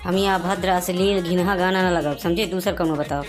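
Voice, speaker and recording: A man playing a put-upon, grumbling village man in a comic cartoon, with a Bagheli accent and a pitched-up voice. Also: steady tone, brisk, over music. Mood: scolding.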